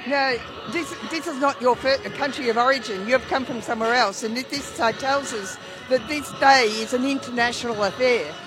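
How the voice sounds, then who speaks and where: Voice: medium pitch, moderate pace, few pauses, rough recording. A community radio presenter, doing a live outside broadcast and chatting easily with people. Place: outdoor street rally. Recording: crowd and music behind, outdoors.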